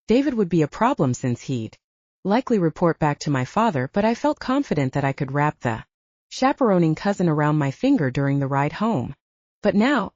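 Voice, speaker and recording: A woman's voice: lowish voice, warm tone, no echo, dull audio. A woman reading first-person fiction as a scripted audiobook narration.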